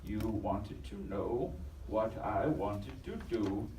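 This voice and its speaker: A man playing a grumpy, miserly doctor in a live audio drama, halting and stammering with open disdain. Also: fairly low pitch, mellow. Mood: cold, dismissive. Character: grumpy, miserly, misanthropic male doctor, a Scrooge type. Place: convention live show stage. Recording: roomy live stage recording, distant, noisy.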